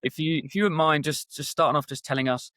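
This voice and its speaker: A man's voice: a little high, fast, a bit bright, no room sound, studio quality. A man hosting a podcast interview, polite and repeating words as he restarts his questions. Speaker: podcast host.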